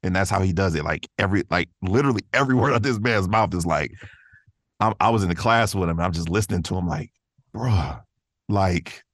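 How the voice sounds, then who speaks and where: A man's voice: sits low, fairly quick, a bit bright, dry recording, clean studio sound. A man who is an interview guest and voice actor, chatting casually in an earnest, enthusiastic way with laid-back slangy phrasing. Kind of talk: casual conversation.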